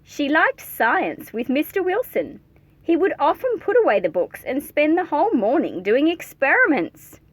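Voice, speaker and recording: A woman with a fairly high, very lively voice reads a storybook to children. Her delivery is playful and expressive, slipping between narration and chatty remarks to the listeners. The sound is dry and close, with little room in it. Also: moderate pace, no room sound. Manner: animated, playful, addressing young listeners directly. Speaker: read-aloud host reading a picture book to children.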